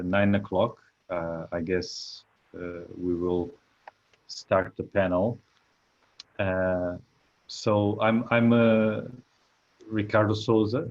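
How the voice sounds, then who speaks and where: Hesitant low-voiced man chairing a panel. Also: darkish tone. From Portugal. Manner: hesitant, many filler sounds and restarts. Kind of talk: online academic panel moderation. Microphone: computer mic on a video call. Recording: dull lo-fi video call audio.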